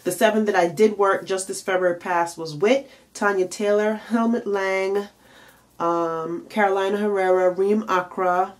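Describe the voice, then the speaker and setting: A woman's voice: medium pitch, steady tone, unhurried. A tired, congested woman giving chatty career advice to camera as a makeup and nail artist, with plenty of fillers. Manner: chatty, frequent fillers, emphatic rhetorical questions. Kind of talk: casual advice vlog to camera.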